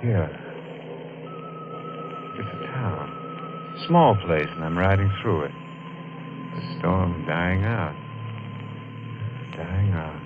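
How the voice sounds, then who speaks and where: An actor playing a haunted, guilt-ridden wife killer in an overwrought, intense 1950s radio thriller style. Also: male voice, sits low, very slow, long pauses, over music. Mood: drained resignation. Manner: overwrought, intense dramatic delivery. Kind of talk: 1950s radio thriller acting, first-person narration. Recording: muffled, noisy old radio recording.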